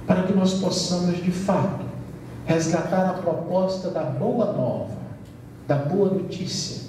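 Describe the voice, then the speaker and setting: Brazilian male lecturer, high mellow voice, measured and emphatic, recorded far off in a very roomy hall. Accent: Brazilian Portuguese. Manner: measured, emphatic, with light humor. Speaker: spiritist lecturer. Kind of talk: lecture to an audience. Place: large event hall. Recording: roomy hall sound from far off with faint noise.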